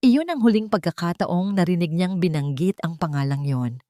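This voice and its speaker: A woman's voice: fairly low pitch, expressive, normal speed, mellow, no room sound. A woman narrates a scripted drama in the manner of an audiobook. The sound is dry, with very little room in it.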